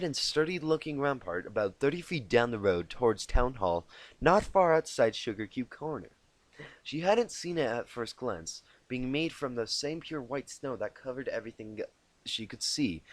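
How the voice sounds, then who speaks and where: A man narrating a storybook tale as an amateur reader, halting and often repeating words he stumbles on. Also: mid-range voice, dry recording. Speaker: amateur fan fiction reader. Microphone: inexpensive home microphone.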